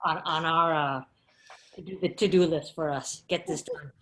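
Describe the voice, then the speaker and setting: A woman's voice: low-pitched, normal speed, fairly bright tone, slight background noise. A woman talking casually as a panelist at a reunion discussion. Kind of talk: casual chat.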